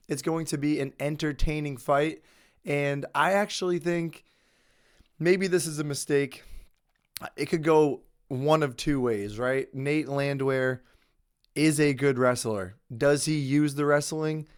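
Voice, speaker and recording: A man's voice: medium pitch, clear, resonant tone. Man with a cold giving fight picks. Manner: conversational, confident opinions with fillers. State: has a cold, losing his voice. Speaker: host. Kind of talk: casual sports picks commentary.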